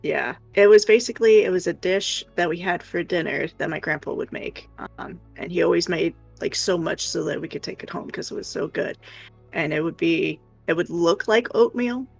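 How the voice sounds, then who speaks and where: A woman co-hosting a livestream in casual chat, rambling and hesitant as she thinks aloud, with a creaky voice. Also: fairly low pitch. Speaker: co-host of an art livestream.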